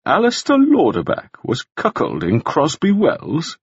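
A man playing a stern, slow-spoken jailer. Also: a little high, wildly swooping pitch, husky, dry recording, pitched above the performer's own voice, full character voice. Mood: probing. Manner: slow, probing, demanding. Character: stern, calculating male jailer. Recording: dull lo-fi recording.

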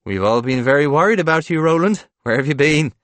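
A man voicing an excitable, scheming father with a coaxing manner in an audiobook story. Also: mid-range voice, moderate pace, no room sound, pitched above the performer's own voice, lightly acted. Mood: mock concern.

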